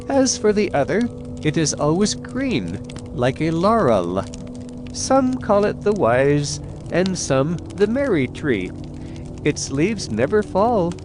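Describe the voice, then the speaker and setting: A man's voice: high-pitched, pitched above the performer's own voice. A man voicing a magical talking cuckoo in a fairy tale, a wise and proud herald of spring with lofty, formal, old-fashioned speech.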